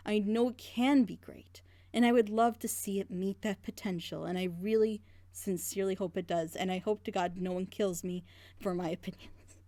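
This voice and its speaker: A woman's voice: mid-range voice, moderate pace, mellow. A woman in a casual group reaction chat, talking earnestly and passionately in long, rambling stretches. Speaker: reaction group member leading the discussion.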